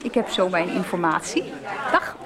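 An actress playing a brisk, slightly scatterbrained literature teacher in a radio soap. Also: female voice, lowish voice, sing-song. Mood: friendly, flustered. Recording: classroom crowd noise in radio drama. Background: chatter of a school class.

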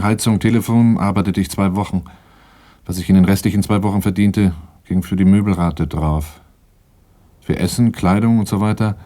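An actor playing a wary male first-person narrator in a radio crime drama, speaking in a measured, matter-of-fact way. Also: low voice, mellow, close to an everyday voice.

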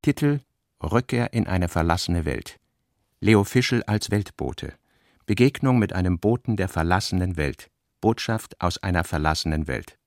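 A man reading scripted literary narration for a radio drama in a measured, sober manner, with a low, creaky voice. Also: animated, no room sound, clean studio sound.

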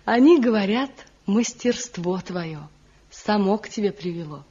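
A gentle young wife of a village craftsman in a vintage radio play. Her voice is dark, soft and breathy, with a wistful tenderness. The old recording is dull and muffled. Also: mid-range voice, expressive, measured pace. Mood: earnest. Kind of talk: stage-trained radio drama acting.

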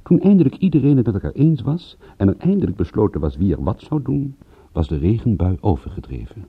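A man playing a wry private detective narrating his case in a radio drama, measured and dryly ironic. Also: on the low side, very animated, husky, dry recording, heavily acted. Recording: very muffled, dull old radio recording.

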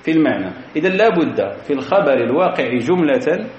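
A man's voice: fairly high pitch, big pitch swings, quick pace. Male classroom teacher, patient and methodical, recorded in a roomy hall with faint hiss. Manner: methodical, repeats key phrases, quizzes students. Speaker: grammar teacher. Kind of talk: classroom grammar lecture. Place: lecture hall. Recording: echoey hall, dull lo-fi recording.

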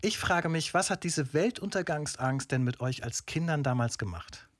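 A man's voice: on the high side, expressive, normal speed, no echo, clean studio sound, lightly acted. An actor playing a probing male questioner in a conversational radio play. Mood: curious, steady.

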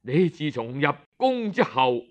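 Man voicing slow, stately aging Cantonese king. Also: fairly high pitch, very animated. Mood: tipsy, puzzled. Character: aging male king, self-important, coaxing his young wife.

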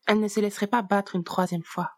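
Woman calmly reading a story aloud, dry close sound. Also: mid-range voice, fast talker, dry recording. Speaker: narrator.

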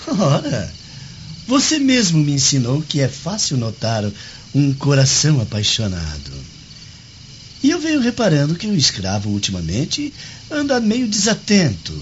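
Haughty, highly animated Roman aristocrat. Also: male voice, measured pace, muffled recording, a little hiss or hum. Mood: teasing, condescending. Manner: pompous, theatrical. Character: haughty male Roman aristocrat, imperious and teasing. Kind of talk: Brazilian radio drama acting.

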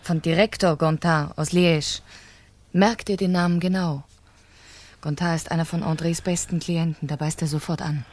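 Cold, commanding scheming wife, low voice. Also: female voice, steady tone, moderate pace, a little mellow. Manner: commanding, precise. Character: cold, calculating French wife plotting her husband's murder. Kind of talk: 1970s German radio crime drama acting.

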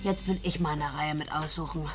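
A woman's voice: sits low, steady tone, quick pace, natural voice. An actress in a German radio drama playing a brash, short-tempered female killer who talks coarsely and bosses and taunts her partner. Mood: taunting, impatient. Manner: coarse slang, taunting, domineering.

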